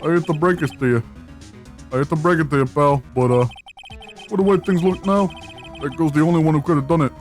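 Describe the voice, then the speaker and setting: A woman voicing a gruff, bossy male detective in a video game, speaking bluntly and folksily. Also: deep voice, fairly quick, pauses often, a little mellow, faint music behind, natural voice. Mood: blunt, businesslike. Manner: blunt, calls listener "pal".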